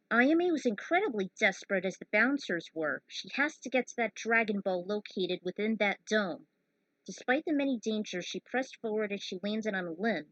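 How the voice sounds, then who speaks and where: A woman's voice: medium pitch, fairly bright tone, no room sound, muffled recording. Casual woman in her thirties, dry close sound. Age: 30s. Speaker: fan fiction author and narrator. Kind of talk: casual commentary on own story.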